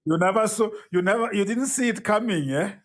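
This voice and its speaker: A man hosting a live podcast interview, effusive and prone to repeating his words as he starts a thought. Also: high-pitched. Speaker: podcast host.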